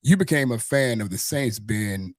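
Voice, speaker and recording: A man's voice: medium pitch, expressive, moderate pace, dry recording, studio quality. A man from Mississippi with a Southern US accent, hosting a casual sports interview in a wordy way that circles back over phrases. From Mississippi, United States. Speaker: podcast host.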